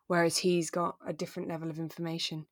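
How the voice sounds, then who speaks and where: Low-pitched woman, chatting thoughtfully. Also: flat delivery, fast talker, no room sound, studio quality. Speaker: podcast co-host. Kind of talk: relaxed conversational discussion of a radio drama.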